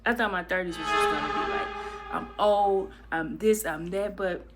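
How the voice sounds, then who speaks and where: A woman's voice: on the low side, normal speed, over music. A woman in her early thirties who hosts a casual reaction vlog, talking freely and animatedly, often restarting and repeating her phrases. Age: 30s. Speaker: vlogger reacting to clips. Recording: clicking noise in the background.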